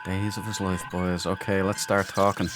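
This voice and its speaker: A man's voice: deep voice, little pitch movement, moderate pace, background noise. A man hosting a casual game playthrough, muttering to himself and playfully repeating phrases. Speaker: let's play host. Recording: loud game ambience behind. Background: video game sound.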